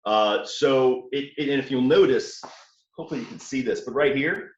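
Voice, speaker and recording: A man from New Orleans with a light Southern accent, explaining his Jeep build step by step in a relaxed, casual way. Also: mid-range voice, moderate pace, roomy sound, dull audio. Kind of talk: casual technical chat. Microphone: remote call.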